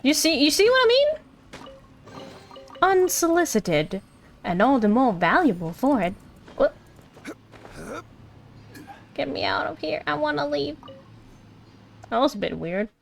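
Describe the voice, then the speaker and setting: A woman with a high voice gives casual live game commentary. She is playful and reactive, with game music playing faintly behind her. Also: big pitch swings, a little slow, gravelly, pauses often. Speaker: game streamer.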